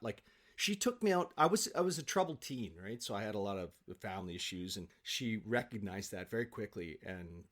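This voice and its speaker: A man, probably middle-aged, telling anecdotes casually in an animated, self-deprecating, halting way as an interview guest. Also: mid-range voice. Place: home office, on a remote call.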